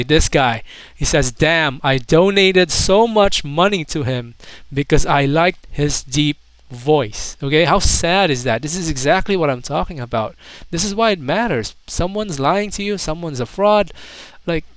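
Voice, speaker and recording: A man's voice: fairly high pitch, no echo. A man doing casual YouTube commentary, reading comments aloud in a mocking, incredulous manner with hesitant asides.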